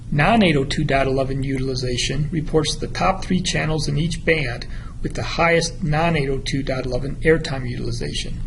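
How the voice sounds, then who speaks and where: A man's voice: fairly high pitch, creaky, a little hiss or hum, rough recording. A man presenting a product demo in a methodical, explanatory instructional style.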